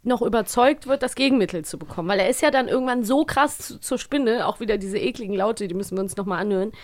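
Woman cohosting a podcast, bit high pitched, playful casual chat. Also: quick pace. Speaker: podcast co-host and voice actor.

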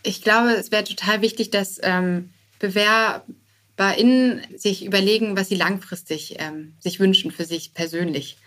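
A woman with a medium-pitched voice talks as a guest in a conversational interview. She is a startup co-founder who speaks informally, feeling her way through sentences and dropping in English business jargon. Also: steady tone, a little slow, fairly bright tone.

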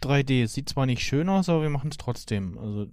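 A man chatting casually as a podcast panelist, starting and restarting haltingly. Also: medium pitch, animated, darkish tone, dry recording, studio quality. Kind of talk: casual chat.

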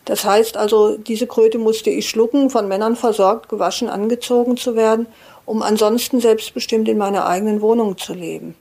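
Creaky, dark-toned woman interviewee. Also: a little high, steady tone, normal speed. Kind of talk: personal account.

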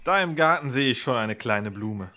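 Young storybook hero male actor: eager, agreeable, animated mid-range voice, faint ambience behind. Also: mid-range voice, moderate pace, noisy background, natural voice. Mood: eager, hesitant. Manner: hesitant, earnest, agreeable. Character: naive, eager young fairy-tale hero. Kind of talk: fairy-tale audio drama acting.

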